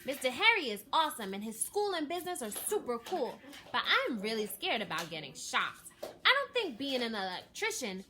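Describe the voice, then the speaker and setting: Lady reading as excited polite little boy in a storybook, pitched up a bit, bright voice. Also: moderate pace. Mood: earnest. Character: eager, polite young boy full of questions.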